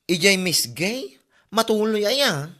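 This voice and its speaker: A woman's voice: medium pitch, big pitch swings, slow pace, a bit bright. A woman with an Ilocano accent playing a curious woman who dreams of becoming a beauty queen. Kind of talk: comedy drama acting.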